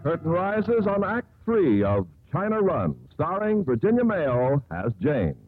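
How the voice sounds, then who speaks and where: An American man announcing on 1950s radio. He narrates formally, with hugely varied intonation and measured oratory. His voice is slightly rough, and the sound is narrow and boxy. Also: on the high side, huge pitch swings, faint music behind. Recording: tinny, band-limited 1950s radio broadcast.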